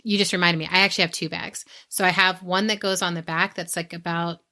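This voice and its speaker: A woman's voice: lowish voice, level tone, fast talker, bright, forceful tone. An American woman talking casually and reflectively as a podcast co-host, giving advice and often voicing imagined quotes.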